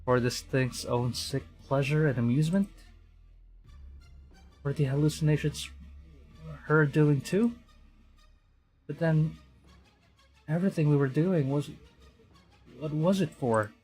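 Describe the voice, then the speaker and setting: A man's voice: fairly high pitch, takes it slowly, long pauses, darkish tone, breathy edge. A man from the Philippines streaming a game, reacting wryly with stammers and reading the text aloud. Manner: hesitant, stammering, wry reactions. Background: game music.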